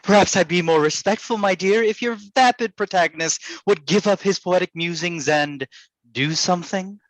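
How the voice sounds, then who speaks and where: A man's voice: high voice, moderate pace, dry recording, natural voice, rough recording. A man playing Lord Byron as a petulant, condescending aristocrat in a staged reading, haughty and mocking.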